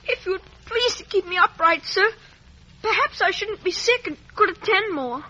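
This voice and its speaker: Timid high-voiced little boy Pip. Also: lively intonation, normal speed, bright, forceful tone, full character voice. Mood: frightened, pleading. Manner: meek, anxious, deferential. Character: timid, polite, frightened young village boy Pip. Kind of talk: 1950s radio drama acting. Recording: muffled, noisy vintage radio recording.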